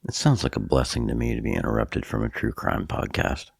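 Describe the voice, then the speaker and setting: A sniffly man co-hosting a podcast, cracking dry, deadpan jokes. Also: a bass voice, fairly quick, creaky, loud music behind the voice. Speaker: podcast co-host. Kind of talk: casual podcast banter.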